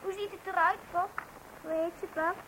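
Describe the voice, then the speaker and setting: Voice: extremely high pitch, fairly even intonation, moderate pace. A performer playing an eager young child in a radio play, insistently pestering a father with questions. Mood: curious, eager. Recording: very noisy old radio recording.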